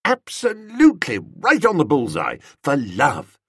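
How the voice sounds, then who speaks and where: A man playing an earnest, foppish young upper-class British gentleman in a comic audiobook, slangy, emphatic and hesitant. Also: fairly high pitch, wildly swooping pitch, a little slow, breathy edge, no room sound, studio quality, voice pushed up, full character voice. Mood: eager.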